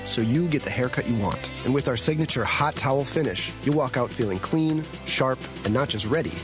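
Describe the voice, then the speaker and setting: With music clearly underneath, a man reads scripted radio ad copy. His voice sits at a middle pitch. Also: lively intonation, fairly quick. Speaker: advertisement announcer. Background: music bed.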